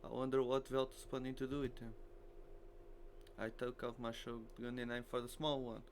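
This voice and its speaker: A man's voice: medium pitch, level tone, normal speed. A man who natively speaks Portuguese, reading a visual novel aloud as a game streamer, with faint noise behind him.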